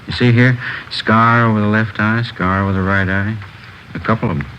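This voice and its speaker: An actor playing a methodical, plainspoken police records lieutenant in a 1950s radio drama. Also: male voice, fairly low pitch, fairly even intonation, moderate pace, pushed and bright. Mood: explaining. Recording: dull, faintly noisy vintage radio recording.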